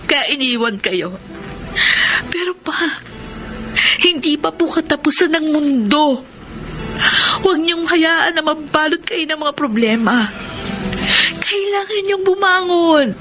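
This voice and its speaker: An insistent grown daughter in a radio drama. Her high voice is emotional and pleading, set over clearly audible music. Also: lively intonation, slow pace, fairly bright tone, heavily acted. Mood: tearful pleading. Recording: music bed under dialogue.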